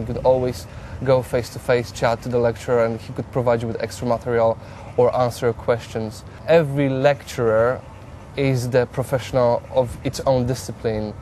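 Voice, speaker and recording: A man's voice: medium pitch, warm tone, a little hiss or hum. A young man from Poland with a Polish accent, a psychology student giving hesitant interview answers and often repeating words.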